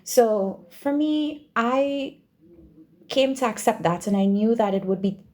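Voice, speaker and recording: A woman's voice: on the high side, slow, a little mellow. A woman from Trinidad and Tobago with a Trinidadian accent, answering interview questions conversationally and repeating phrases for emphasis. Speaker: podcast guest, doctoral researcher and university teacher.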